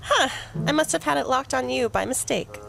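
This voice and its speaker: An actress playing a sharp, playful police investigator in an audio drama, matter-of-fact and wry. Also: female voice, sits high, animated, brisk, gravelly, full character voice.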